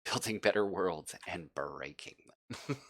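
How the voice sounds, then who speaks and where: A man narrating a tabletop game casually as game master, thinking aloud in an informal, halting way. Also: low voice, creaky, fairly bright tone.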